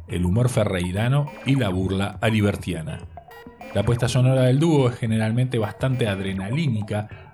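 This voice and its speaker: A man presents a scripted arts segment for radio in formal, literary phrasing. His mid-pitched voice sits a little away from the microphone, with music clearly playing behind him. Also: distant mic. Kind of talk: scripted cultural radio narration.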